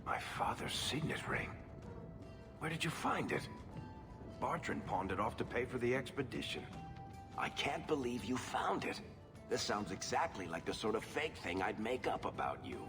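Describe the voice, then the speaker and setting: An actor playing a wry, affable dwarf rogue and storyteller in a video game, with a joking manner. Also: male voice, mid-range voice, big pitch swings, normal speed, close to an everyday voice. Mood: wry, touched. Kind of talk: bantering game dialogue. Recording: long hall reverb, music behind.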